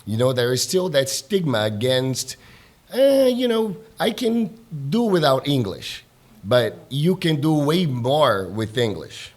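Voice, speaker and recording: A man's voice: medium pitch, slow. Brazilian man, easygoing English teacher. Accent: Brazilian Portuguese. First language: Portuguese. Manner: relaxed, persuasive, peppered with "you know". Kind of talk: casual studio interview. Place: radio studio.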